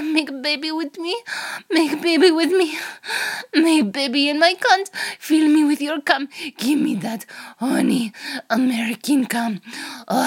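A woman voicing a seductive, threatening Russian female spy in an erotic audio roleplay, with a Russian accent and a breathy, often whispered delivery. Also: high voice, pitched above the performer's own voice, exaggerated voice. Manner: slow, teasing, domineering.

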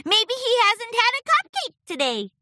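A woman's voice: very high voice, huge pitch swings, moderate pace, sharp tone, no room sound, studio quality, pitched above the performer's own voice, exaggerated voice. A woman voicing a bouncy young female pony who exclaims everything in a sugary, dramatic way. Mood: chirpy. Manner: exclamatory, bubbly.

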